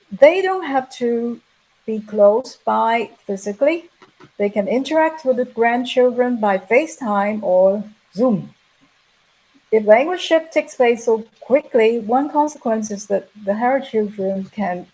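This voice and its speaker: Woman giving an academic lecture, higher voice. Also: darkish tone, slightly rough, poor audio. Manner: measured, explanatory, reading prepared remarks. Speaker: plenary speaker.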